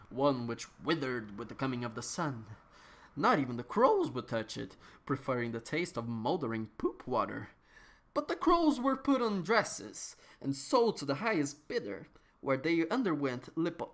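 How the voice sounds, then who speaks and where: A reader with a medium-pitched voice performs a written text as a dramatic reading. The delivery is declamatory and theatrical, leaning hard on certain words and stretching others out. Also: fairly bright tone. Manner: theatrical, declamatory, with emphatic outbursts and drawn-out words.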